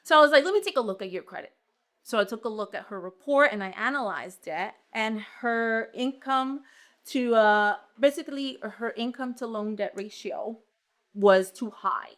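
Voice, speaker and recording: A woman's voice: fairly high pitch, moderate pace. A young woman with a Brooklyn accent, a real estate agent telling stories in a casual interview and acting out the dialogue. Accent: Brooklyn New York. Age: young adult. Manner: animated, acts out quoted dialogue.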